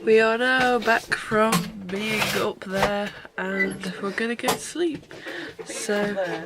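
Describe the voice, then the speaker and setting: A woman's voice: mid-range voice, takes it slowly, very noisy. A young woman, chatting casually in a holiday vlog with dry, wry humour. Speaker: vlogger. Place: on the move, outdoors and in a car while on holiday.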